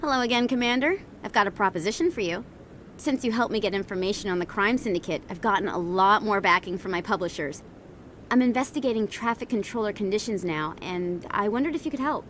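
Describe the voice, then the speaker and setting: A woman's voice: animated, fast talker, a bit bright, natural voice. A woman playing a persuasive, assured investigative reporter in a science fiction video game, speaking articulately. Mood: coaxing, eager. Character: persuasive, driven female investigative reporter of space opera. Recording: faint rumbling background noise.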